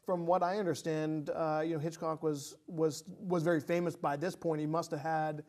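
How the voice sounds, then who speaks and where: Man, slightly high voice, casual film review chat, hesitant, halting delivery. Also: level tone, darkish tone.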